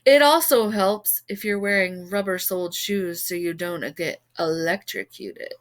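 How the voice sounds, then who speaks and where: A woman's voice: fairly low pitch, unhurried, voice pushed down, natural voice. A woman voicing a pompous, smug father and author who brags in a smirking way. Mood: boastful. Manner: boastful, smirking.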